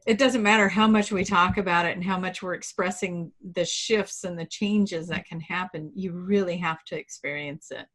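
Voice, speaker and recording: A woman's voice: lowish voice, steady tone, moderate pace, hardly any pauses, fairly bright tone. A woman co-hosting a casual interview in a supportive, agreeing, conversational way. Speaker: interview co-host.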